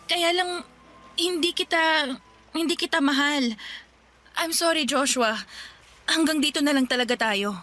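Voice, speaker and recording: A woman's voice: sits high, a little slow, pauses often, bright, forceful tone, soft background music. An actress playing a young woman who hesitantly and apologetically ends a romance in a radio drama. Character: young woman gently ending a fling.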